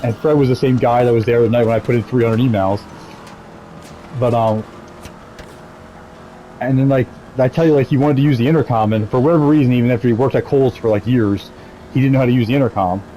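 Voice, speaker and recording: A man's voice: mid-range voice, fast, long pauses, dark tone, highs cut off, a little hiss or hum. A man telling stories casually over gameplay in a rambling, profane, amused way.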